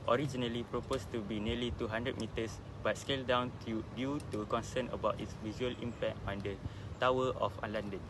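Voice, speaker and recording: Young man narrating over clear background noise. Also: medium pitch. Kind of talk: scripted documentary narration.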